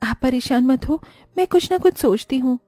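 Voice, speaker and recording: A woman's voice: high-pitched, animated, normal speed, dark tone, no echo, faint music behind. A woman voicing a caring, resourceful wife in a cartoon, speaking in an earnest, concerned way. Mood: reassuring. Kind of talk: scripted cartoon dialogue.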